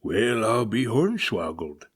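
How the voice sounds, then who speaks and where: A man voicing a gruff, growling ship's captain in a storybook, folksy and resigned. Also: lowish voice, big pitch swings, slow pace, heavily acted. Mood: dismayed.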